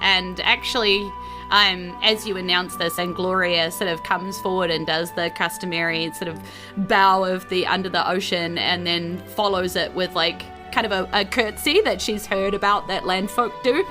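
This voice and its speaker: A woman with a New Zealand accent hosting and narrating a tabletop game in an informal, animated storytelling manner. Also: lowish voice, moderate pace, over music. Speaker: game master and host.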